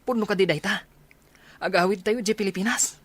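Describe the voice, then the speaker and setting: A man acting as an excitable, friendly young buddy in a radio comedy drama. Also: high-pitched, brisk, dry recording. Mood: excited, teasing.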